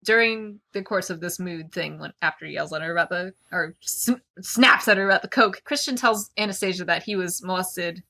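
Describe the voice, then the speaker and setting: Woman, bright forceful voice, podcast host, animated, opinionated, casual chatty delivery. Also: on the low side, brisk, creaky, few pauses. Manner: animated, opinionated, peppers talk with "like". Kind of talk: casual book-discussion podcast.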